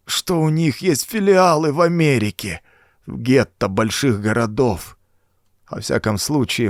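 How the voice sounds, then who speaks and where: A man's voice: lowish voice, dry recording, clean studio sound, close to an everyday voice. A man voicing a dying old former cultist, groaning out a desperate, laboured confession for a horror audiobook. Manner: groaning, laboured, dramatic delivery. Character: dying old male renegade cultist confessing in dread and agony. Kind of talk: acted audiobook dialogue.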